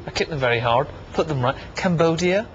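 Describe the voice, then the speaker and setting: A Scottish man with a slightly high voice is stopping strangers on a busy city street, quick-witted and teasing. Traffic rumbles loudly behind him, and the recording sounds a little dull and muffled. He asks questions with playful mischief. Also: expressive. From Scotland. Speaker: TV presenter interviewing people on the street.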